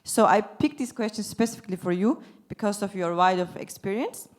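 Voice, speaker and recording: A woman's voice: medium pitch, expressive, brisk. A woman from Turkey moderating a panel, speaking casually with many filler words. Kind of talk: panel discussion.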